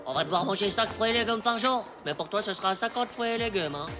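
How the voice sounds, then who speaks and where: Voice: medium pitch, animated, quick pace, close to an everyday voice. An actor voicing a cheeky, stubborn ten-year-old schoolboy, grumbling and mocking in slangy French cartoon style. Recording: prominent music behind the voice.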